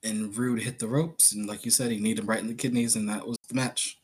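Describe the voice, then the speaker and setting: A man co-hosting a podcast, chatting in a relaxed way, often agreeing and swearing casually. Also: fairly low pitch, fairly quick, slight background noise. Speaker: podcast co-host. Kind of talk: casual chat.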